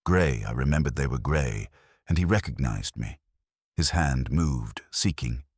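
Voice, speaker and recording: A man with a husky, gravelly voice narrating a dramatic scripted story in a solemn, measured way, in short clipped phrases. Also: rumbling low, animated, a little mellow, dry recording, clean studio sound. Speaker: narrator of lore stories.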